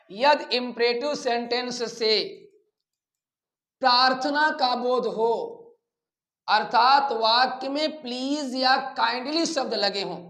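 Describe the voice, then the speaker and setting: A man with an Indian accent teaching a grammar lesson in a didactic way, repeating phrases deliberately. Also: very high voice, a bit bright. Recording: echoey room sound.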